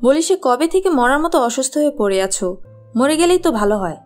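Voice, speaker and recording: A woman voicing a scheming, spiteful young stepmother in a fairy-tale cartoon, sharp-tongued and scornful. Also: sits high, animated, fairly quick, soft background music. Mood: scornful, scolding.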